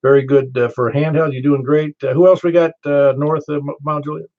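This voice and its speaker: An older man with a Southern American accent running a ham radio net, chatty and quick to laugh as he tells stories. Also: a little high, fairly even intonation. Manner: chatty, storytelling, laughs easily. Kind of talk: casual radio chat. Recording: muffled ham radio audio.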